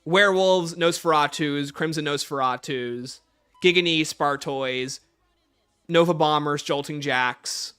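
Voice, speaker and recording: Bright, higher-voiced man livestreaming. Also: measured pace, smooth. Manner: informal, playful banter with viewers. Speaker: livestream host. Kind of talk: casual livestream chat, answering viewer questions.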